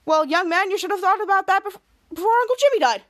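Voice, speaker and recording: A woman's voice: very high voice, animated, moderate pace, fairly bright tone, dry recording, clean studio sound. A woman voicing a flippant, self-satisfied father in a breezy, emphatic way as she retells a story. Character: flippant, self-satisfied dad brushing off his son.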